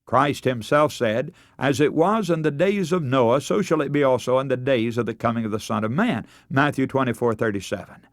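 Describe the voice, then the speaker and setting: A man's voice: medium pitch, normal speed, hardly any pauses, no room sound. A man with an American accent delivering a scripted radio sermon in a formal, emphatic, oratorical way. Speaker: radio preacher and broadcast host.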